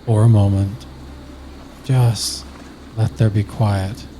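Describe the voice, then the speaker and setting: A man narrating a scripted guided sleep meditation slowly and soothingly, with long pauses. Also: lowish voice, flat delivery, noisy background.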